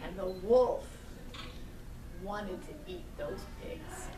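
A middle-aged woman telling a children's story with puppets, slowly and gently, asking the child along the way. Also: medium pitch. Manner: slow, gentle, asks the child questions. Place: indoor room with puppet stage. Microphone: far camera. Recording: echoey room, far camera, noisy.

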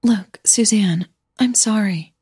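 A woman voicing an uneasy, polite young woman singer in an audio drama, with soft, breathy delivery. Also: mid-range voice, slow, pitched above the performer's own voice. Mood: uneasy, apologetic.

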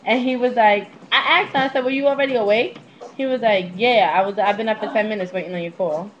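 A woman's voice: mid-range voice, fairly even intonation, fast, slight background noise. A woman in her twenties telling personal stories casually to her webcam, candid, slangy and freely swearing, recorded on a small netbook mic. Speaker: vlogger. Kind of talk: casual confessional storytelling to webcam. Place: small indoor room at home. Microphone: netbook's built-in webcam mic. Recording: dull lo-fi webcam audio.

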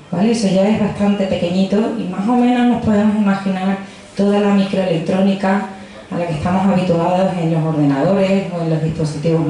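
A woman's voice: medium pitch, mellow. A young woman from Extremadura with a Badajoz accent, a physicist giving a chatty popular science talk into a handheld mic in a pub. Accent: Badajoz Spanish. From Extremadura, Spain. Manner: chatty, jokey, addresses audience directly. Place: crowded pub, indoors. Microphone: handheld microphone. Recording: echoey pub room with faint noise.

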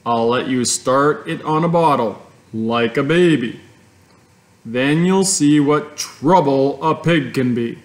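A man voicing a gentle, steady farmer father who speaks kindly. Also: mid-range voice, slow pace, natural voice.

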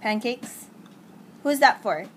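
A young woman with a notably high voice speaks from behind a handheld camera in a living room. She questions a small child gently and encouragingly in short, playful prompts. A faint hiss sits under the home-video recording. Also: animated, takes it slowly. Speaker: godmother filming a child's pretend cooking show. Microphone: camera held by the speaker.

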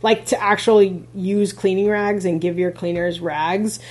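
A chatty woman on a casual podcast, her voice a little husky. She talks loosely, piling on fillers and laughing lightly as she explains. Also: mid-range voice, flat delivery, unhurried. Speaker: podcast co-host, rental host.

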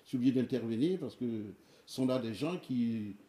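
A man who runs open music sessions, explaining hesitantly with many pauses. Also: medium pitch, warm tone, echoey room. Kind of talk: conversational interview answer.

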